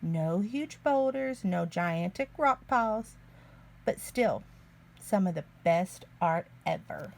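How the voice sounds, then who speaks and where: A woman reading a picture book aloud to young children in an expressive, deliberate way. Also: medium pitch, creaky. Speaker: children's story reader, early learning teacher.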